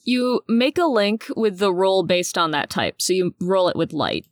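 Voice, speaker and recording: A woman's voice: medium pitch, lively intonation, normal speed, no room sound, studio quality. Matter-of-fact woman game master. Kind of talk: explaining game rules.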